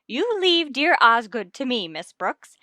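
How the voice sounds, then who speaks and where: An actress playing a chatty, gushing, meddling matchmaker wife in a vintage radio sitcom. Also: female voice, a little high, big pitch swings, measured pace, bright, forceful tone, no echo, clean studio sound, heavily acted. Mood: scheming, eager. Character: chatty, meddling married matron, eager matchmaker.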